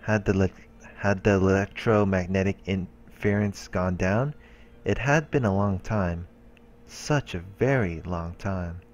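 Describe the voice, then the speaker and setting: A man hosting a let's play, reading a visual novel's narration aloud in a calm, measured way. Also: low-pitched, lo-fi sound. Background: game music and voices.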